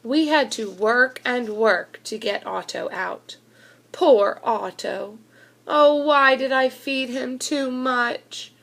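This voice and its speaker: A woman reading a children's storybook aloud in an expressive narrating style. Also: on the high side, takes it slowly, gravelly.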